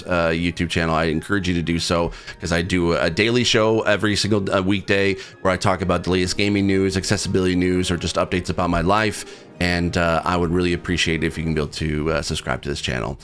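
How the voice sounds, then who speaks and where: A man's voice: sits low, level tone, quick pace, a bit bright. A man hosting a gaming video, talking casually to viewers in an earnest, rambling, heartfelt way. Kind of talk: casual talk to camera.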